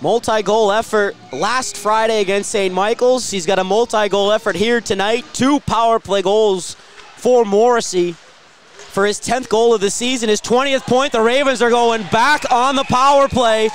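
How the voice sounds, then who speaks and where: High-pitched man calling live hockey play-by-play. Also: soft background music. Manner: rapid-fire descriptive calls with excited surges at big plays. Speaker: play-by-play radio commentator. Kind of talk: live ice hockey commentary.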